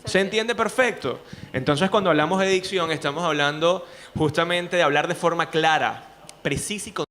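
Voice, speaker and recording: A man with a Venezuelan accent, a journalist speaking to students in an animated way, often asking rhetorical questions. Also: a little high, brisk, slight background noise. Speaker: journalist and speaking coach, guest. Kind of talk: lively talk to students.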